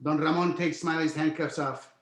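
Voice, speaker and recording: A man's voice: a little high, steady tone, normal speed, a bit bright. A man reading scripted screenplay stage directions as narrator, in an echoey room. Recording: roomy, echoey sound.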